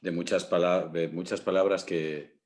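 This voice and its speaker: A man's voice: sits low, steady tone, a little fast, darkish tone. A man with a Spanish accent from Spain, an actor chatting casually in a discussion, colloquial and repeating himself. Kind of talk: casual post-show discussion.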